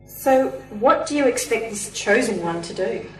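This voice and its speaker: An outspoken, sceptical niece played by a woman in a sci-fi radio drama. Her voice is very animated, pert and questioning, with music clearly playing behind it. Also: on the high side, moderate pace, lightly acted, poor audio. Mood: curious. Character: curious, sceptical, outspoken niece and travelling companion. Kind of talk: amateur sci-fi radio play acting.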